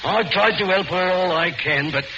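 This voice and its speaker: Earnest, high-voiced working fellow. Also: male voice, moderate pace, creaky. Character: earnest, plain-spoken working man, respectful. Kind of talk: 1940s radio mystery acting. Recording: noisy, muffled 1940s radio recording.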